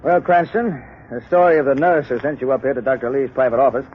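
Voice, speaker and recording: A tinny, phone-like old radio recording carries a man acting a brisk police commissioner. He talks fast in a hearty, businesslike way, straight out of a 1930s mystery drama. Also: medium pitch, slight background noise, lightly acted. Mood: brisk, genial.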